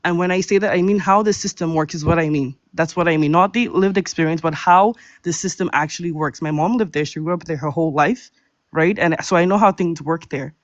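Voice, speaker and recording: A woman's voice: lowish voice, a little fast, no echo. A woman caller in an online debate, standing her ground and insisting on her view without letting up. Her voice comes through dry, with no room sound, and the audio is dull and lo-fi. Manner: defensive and insistent. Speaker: guest in a live discussion. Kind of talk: livestream debate.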